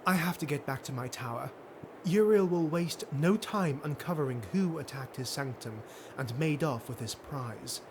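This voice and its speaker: A man voicing a haughty, scheming female dark elf noble in a fantasy audiobook, imperious and taunting. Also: on the high side, normal speed, a little hiss or hum, close to an everyday voice. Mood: urgent, commanding.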